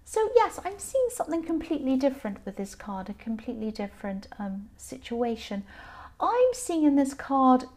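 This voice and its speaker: A slightly tired woman with a faintly croaky voice gives an informal tarot reading, explaining hesitantly and circling back to repeat her phrases. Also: high-pitched, very animated, moderate pace, a little mellow. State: a bit tired. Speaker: tarot reader, channel host.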